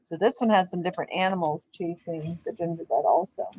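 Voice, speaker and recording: A woman speaking as an elementary teacher to young children, in simple, clear wording suited to a lesson or storybook read-aloud. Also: fairly low pitch, fairly quick, darkish tone, low-quality recording. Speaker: elementary physical education teacher.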